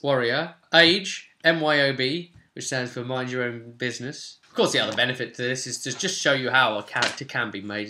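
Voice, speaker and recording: A young man with a crisp, bright voice at a medium pitch talks viewers through tabletop game rules as their game master. He is casual and chatty, slipping in dry little asides as he explains. He sounds like he is recording at home, a short way from the microphone. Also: slight background noise. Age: young adult. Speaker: game master and channel host. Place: small furnished room at home, indoors.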